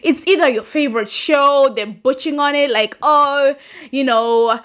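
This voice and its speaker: A woman's voice: high voice, unhurried, fairly bright tone. A young woman, a non-native English speaker, hosting a chatty, rambling commentary and laughing at her own points. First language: not English. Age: young adult. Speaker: host. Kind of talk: casual commentary monologue.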